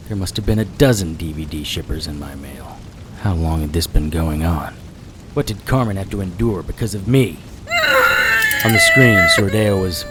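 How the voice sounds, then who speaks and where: A man's voice: low voice, very noisy. A man playing a terse, hard-boiled private investigator who narrates his own noir audio drama with grim intent. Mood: anguished, angry.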